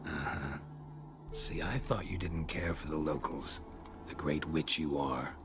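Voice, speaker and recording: An actor playing a stoic veteran monster hunter in a video game, talking in terse, dry, sardonic lines. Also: male voice, rumbling low, fairly even intonation, a little slow. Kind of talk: video game cutscene acting. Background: game soundtrack music.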